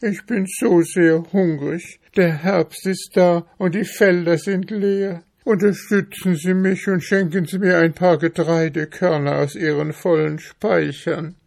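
Man putting on a high-pitched, whining little female mouse. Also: steady tone, unhurried, gravelly, few pauses, darkish tone, exaggerated voice. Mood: pleading. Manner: whining, plaintive, polite begging. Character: poor hungry female mouse, pleading and plaintive.

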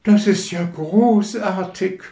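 A man's voice: medium pitch, lively intonation, takes it slowly, a little mellow, pitched above the performer's own voice. A man voicing a vain, pompous firework rocket that boasts with haughty, affected grandeur in a storybook tale. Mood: triumphant. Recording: roomy echo.

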